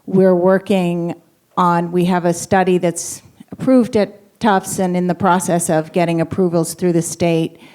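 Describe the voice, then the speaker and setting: A woman speaking as a faculty panelist, measured and halting with fillers. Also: lowish voice, flat delivery, darkish tone, clean tone. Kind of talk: panel discussion answer. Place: university event room.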